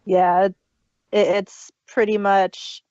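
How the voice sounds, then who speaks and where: A woman's voice: mid-range voice, level tone, extremely slow, dark tone, no room sound. A woman co-hosting a casual review chat, on a dull lo-fi recording. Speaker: podcast co-host reviewing audio dramas.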